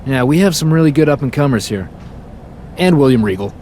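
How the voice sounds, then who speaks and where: A man acting a cocky champion wrestler from Canada in a video game. His mid-pitched voice is dry and sarcastic, tossing out wisecracks over faint noise. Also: lively intonation, a little fast, darkish tone, close to an everyday voice. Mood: wry, cocky.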